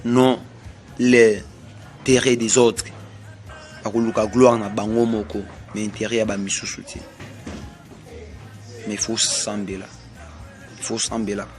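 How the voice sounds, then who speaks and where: Man, congolese french accent, prophetic sermon, deep flat voice, faint music behind. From Congo (DRC). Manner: slow, repetitive, emphatic pronouncements. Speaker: self-styled prophet.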